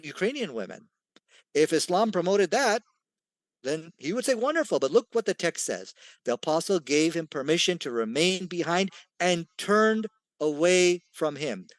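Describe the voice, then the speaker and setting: A man's voice: on the high side, no echo. A man delivering an argumentative debate rebuttal in a dismissive, emphatic manner.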